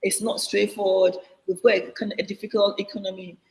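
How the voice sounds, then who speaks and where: A woman's voice: medium pitch, little pitch movement, a little fast, a little mellow. A middle-aged woman with a Ghanaian accent speaks over a video call. She sounds earnest and reflective and often restarts her sentences. Her voice carries a roomy echo with a long reverb tail. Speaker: guest. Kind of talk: interview answer.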